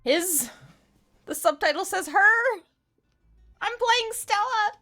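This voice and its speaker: A woman game streamer chatting casually on a livestream in an animated, exclamatory way. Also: very high voice, slow pace, faint music behind. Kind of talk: casual livestream chat, reading game dialogue aloud.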